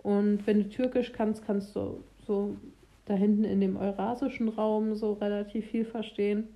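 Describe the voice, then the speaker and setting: A young woman chatting candidly in casual conversation, with some halting restarts. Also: medium pitch, level tone, lo-fi sound. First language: German.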